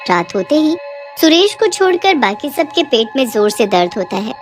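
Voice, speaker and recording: A woman's voice: high voice, very animated, normal speed, faint music behind. A woman narrating a scripted cartoon horror bedtime story.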